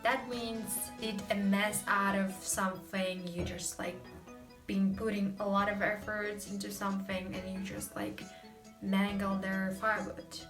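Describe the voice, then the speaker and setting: A woman's voice: medium pitch, level tone, unhurried, reverberant, recorded from a distance, music in the background. A young woman with a Russian accent, hosting a casual language lesson, explaining chattily with many fillers. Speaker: host.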